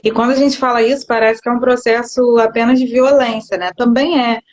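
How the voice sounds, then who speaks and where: A woman's voice: fairly high pitch, steady tone, a little fast. Reflective woman teacher, lo-fi call. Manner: reflective, explaining at length. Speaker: schoolteacher. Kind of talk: casual conversation on a live stream. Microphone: phone or laptop on a live video call. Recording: dull lo-fi call audio.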